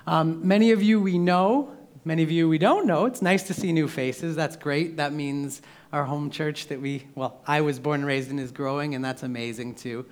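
Higher-voiced young man preaching, throat scratchy. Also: normal speed. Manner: emotional, laughs often, loose restarts. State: scratchy throat, clearing it often. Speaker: pastor. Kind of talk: sermon with casual asides. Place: church sanctuary. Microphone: handheld microphone.

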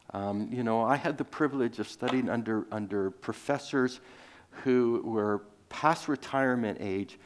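Rambling man preaching a sermon. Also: medium pitch. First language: Greek. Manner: rambling, many restarts and fillers, chuckles. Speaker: pastor.

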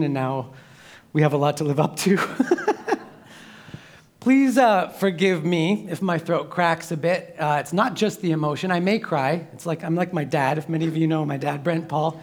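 A young man pastor preaching with casual, emotional asides, laughing often and clearing a scratchy throat into a handheld microphone in a church. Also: on the high side. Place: church sanctuary.

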